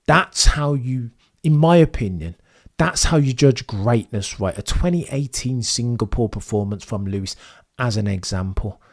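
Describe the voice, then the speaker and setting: A man's voice: mid-range voice, animated, normal speed. A young man commentating on a live stream and reading chat. He is losing his voice, and he talks in opinionated, rambling runs full of tag questions. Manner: opinionated, rambling, frequent "right?" tags. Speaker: Formula 1 streamer.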